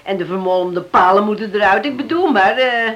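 An actress plays a shrewd farm woman in a radio play. Her mid-pitched voice swings expressively. She is firm, businesslike and sure of herself. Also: lively intonation, normal speed, highs cut off, close to an everyday voice. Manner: businesslike, self-assured. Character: shrewd, firm widowed farm owner driving a bargain. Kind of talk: Dutch radio drama acting.

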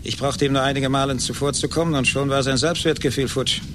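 An actor in a German radio play playing a gloating, vengeful rich man, condescending and deliberate. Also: steady tone, natural voice. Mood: cold triumph. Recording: noisy 1980s radio recording with rumble.

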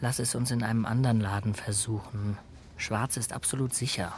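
An actor playing a wary, skeptical criminal accomplice in a radio drama, with a sullen manner. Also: male voice, fairly low pitch, steady tone, brisk, a little hiss or hum, lightly acted.

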